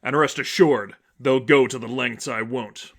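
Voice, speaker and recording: A man's voice: medium pitch, steady tone, measured pace, a bit bright. Grave male masked vigilante, acted by a man reading aloud, stern, commanding hero for audiobook. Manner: grave, authoritative.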